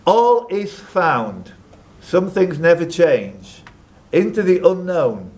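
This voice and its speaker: A man's voice: high voice, big pitch swings, slow pace, a little mellow. Chatty male quizmaster reading out questions. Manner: chatty, off-the-cuff asides between questions. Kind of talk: live pub-quiz hosting, reading out questions. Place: live quiz event at a social club.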